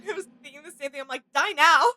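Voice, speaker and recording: A woman's voice: high-pitched, fast, pushed and bright. A woman co-hosting a reaction video with casual, playful chat.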